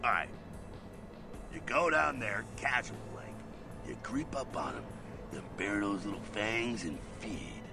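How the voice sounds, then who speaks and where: Gravelly, rowdy vampire mentor. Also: male voice, on the low side, lively intonation, measured pace, music in the background. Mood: instructive, easygoing. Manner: folksy, slangy, teasing, chuckling. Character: brash, rowdy, streetwise male vampire mentor. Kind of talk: video game voice acting, casual drawl.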